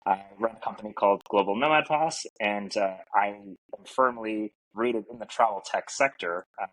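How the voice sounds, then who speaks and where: A man's voice: fairly low pitch, normal speed, fairly bright tone, poor audio. A middle-aged man from Canada, a native French speaker, talking earnestly as a podcast guest with many fillers. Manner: earnest, reflective, lots of fillers. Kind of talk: casual interview talk. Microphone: podcast mic.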